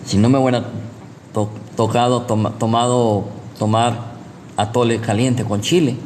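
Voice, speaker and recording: A man's voice: medium pitch, fairly even intonation, unhurried, darkish tone. Male preacher, Guatemalan accent, didactic sermon delivery with rhetorical questions; long room reverb and some background noise. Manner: didactic, repeats points, checks in with rhetorical questions. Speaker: pastor, preacher. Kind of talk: sermon, teaching with personal anecdotes.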